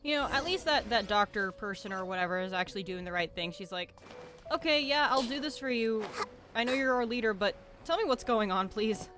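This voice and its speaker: A woman's voice: on the high side, animated, fairly quick, a bit bright. A young adult woman streaming a video game, chatting casually and reacting aloud. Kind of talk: casual live commentary over gameplay. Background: game audio.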